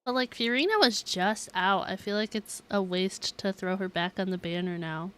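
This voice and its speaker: A woman's voice: mid-range voice, moderate pace, fairly bright tone, clear, resonant tone. A woman streaming a game, chatting casually in a playful, giggly way. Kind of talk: casual livestream chat over gameplay. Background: faint game music.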